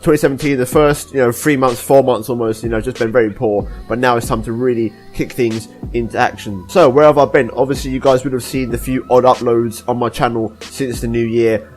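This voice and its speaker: A young man, hoarse and deep-voiced from a cold, giving a casual, rambling update to his viewers as a gaming YouTuber. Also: fairly even intonation, brisk, hardly any pauses. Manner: rambling, apologetic, with many restarts.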